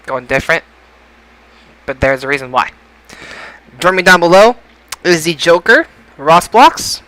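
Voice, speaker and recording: A man's voice: fairly high pitch, expressive, measured pace, bright, forceful tone, poor audio. A man hosting a casual podcast, announcing like a showman and stumbling over his words at times. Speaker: podcast host. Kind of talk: casual podcast show intro and chat.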